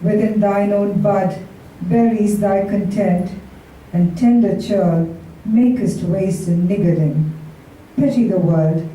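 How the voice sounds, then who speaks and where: A woman reciting a sonnet live in a measured, deliberate way as a poetry reader. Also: mid-range voice, warm tone. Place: large echoey room at a live event. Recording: very echoey room, distant mic.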